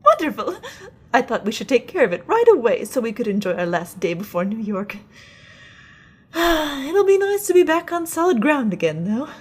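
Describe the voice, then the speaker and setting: A woman voicing a chatty, fussy wife on an ocean liner in an amateur radio play, with a husky voice. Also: on the high side, normal speed. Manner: bubbly, animated and nagging.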